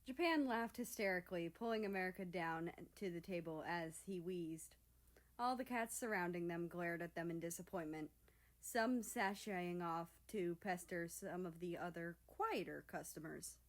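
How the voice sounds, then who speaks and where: A woman reading a story aloud as narrator in an expressive, scripted style. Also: lowish voice, normal speed. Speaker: fan fiction reader.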